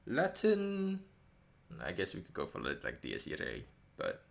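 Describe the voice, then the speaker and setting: Man, light New Zealand accent, casual stream chat, self-correcting, gravelly, lively intonation. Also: fairly low pitch, unhurried. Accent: light New Zealand English. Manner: rambling, self-correcting, wry. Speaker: indie game developer streaming a devlog.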